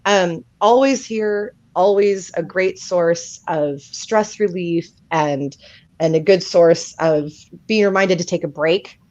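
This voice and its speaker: A woman host with a lowish voice chats warmly and affirms her guest. Her voice comes through a dull, dry recording with no room sound. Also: slow pace, dry recording. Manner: affirming, chatty. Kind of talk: live interview chat.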